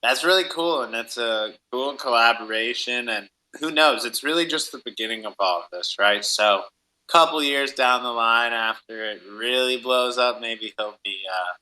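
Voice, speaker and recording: A man's voice: medium pitch, moderate pace, sharp tone, rough recording. A man hosting a casual interview, speaking in an encouraging, affirming way. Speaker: host, interviewer.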